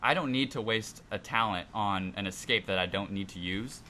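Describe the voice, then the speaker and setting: A man's voice: lowish voice, normal speed, pushed and bright. A man co-hosting a gaming podcast, talking casually about play. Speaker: podcast co-host. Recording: faintly noisy amateur podcast recording.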